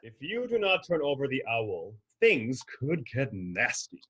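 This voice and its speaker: A man acts out a penguin gang boss who gives orders and threats. The voice is husky, with lively swings in pitch, in the style of cartoon radio comedy. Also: measured pace, highs cut off, full character voice. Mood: threatening. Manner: commanding, threatening. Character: menacing penguin leader of a flightless bird gang.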